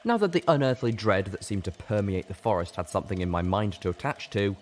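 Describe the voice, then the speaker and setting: A man's voice: lowish voice, expressive, a little fast, darkish tone. A man reading a story aloud as a dramatic, intense audiobook narration. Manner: intense, theatrical. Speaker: reader of a fan fiction story. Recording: clearly noisy background.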